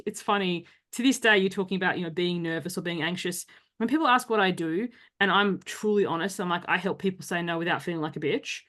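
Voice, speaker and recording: A woman hosting a podcast in casual conversation, chatty and animated, acting out people's reactions as she talks. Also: medium pitch. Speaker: podcast host.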